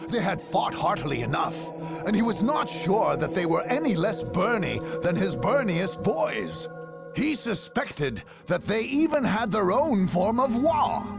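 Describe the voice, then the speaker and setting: An actor playing a grandiose war chronicler, narrating a video game with a raspy, theatrical, emphatic delivery. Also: male voice, a little high, full character voice. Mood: wry, grandiose. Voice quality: gravelly, raspy. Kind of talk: dramatic scripted narration.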